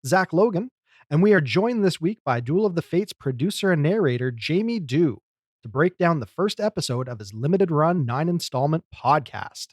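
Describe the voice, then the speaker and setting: A man's voice: fairly high pitch, animated, moderate pace, a little mellow, no room sound, clean studio sound. A man co-hosting a podcast, talking casually and at length in a chatty, enthusiastic way. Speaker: podcast co-host.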